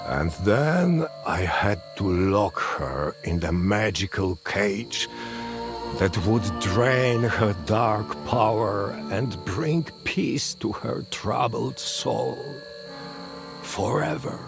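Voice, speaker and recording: Deep, slow old swamp sorcerer. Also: male voice, animated, vocal fry, music in the background, heavily acted. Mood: sorrowful, brooding. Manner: slow, deliberate, solemn. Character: old reclusive swamp sorcerer, grieving weary father. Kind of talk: dramatic storytelling game dialogue.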